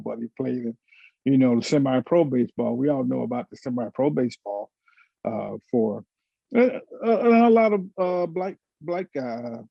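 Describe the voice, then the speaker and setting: A middle-aged man from Florida talks in a gravelly, creaky voice, reminiscing casually. He is halting and hesitant, often restarting. The audio is dry and dull, as on a lo-fi video call. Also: mid-range voice, no room sound. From Florida, United States. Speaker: panelist. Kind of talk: casual reminiscing in a panel discussion. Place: virtual panel over video call.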